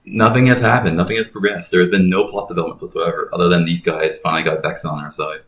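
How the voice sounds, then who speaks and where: A man's voice: low voice, steady tone, quick pace, echoey room, a little hiss or hum. A man giving casual, sarcastic and profane joking commentary over game cutscenes. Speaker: let's play commentator. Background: game music and dialogue.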